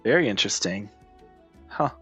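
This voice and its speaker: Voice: deep voice, expressive, normal speed, sharp tone, slightly rough. A game streamer with a mid-range voice comments casually over faint game music. The talk is slangy and joking, with phrases repeated for effect. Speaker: streamer playing a story game.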